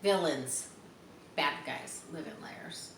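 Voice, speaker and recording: A woman's voice: low-pitched, slow, a bit bright. A woman reading a storybook aloud to children expressively, with explanatory asides. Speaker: storybook reader. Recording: echoey room, faint background noise.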